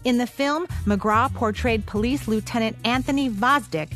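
A woman with a fairly high voice serves as co-host of a radio program. Her delivery is scripted narration, and music plays beneath it. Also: normal speed, fairly bright tone.

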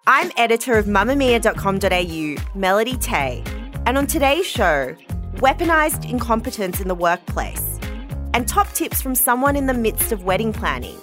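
Animated woman hosting over music. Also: on the high side, normal speed. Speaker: podcast host and editor. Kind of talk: scripted show introduction.

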